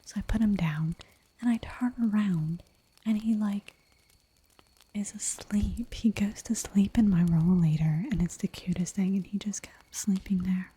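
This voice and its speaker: A woman whispering softly for an ASMR livestream, chatting in a giggly, rambling way while she draws at home. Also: medium pitch, measured pace, husky, poor audio. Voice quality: soft whisper throughout. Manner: giggly, rambling, playful asides.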